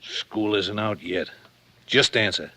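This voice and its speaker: A man's voice: on the low side, takes it slowly, background noise. An actor playing a menacing, sardonic gunman in 1940s radio crime drama, clipped and sarcastic. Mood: cool menace. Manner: hard-boiled, clipped, sarcastic.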